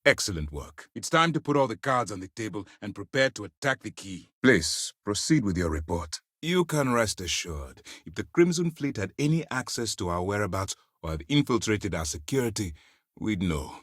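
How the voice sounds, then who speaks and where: An actor playing a stern, principled space navy commander in a video game, speaking in a formal, deliberate, authoritative way. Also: male voice, on the low side, big pitch swings, fairly bright tone, no room sound, studio quality. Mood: calm authority. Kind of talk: scripted dramatic game dialogue.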